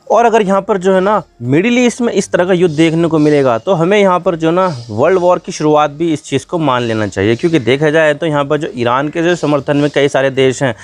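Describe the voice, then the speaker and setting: A man from India gives a conversational explainer, addressing viewers colloquially and leaning on repeated filler phrases. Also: medium pitch. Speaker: news explainer host.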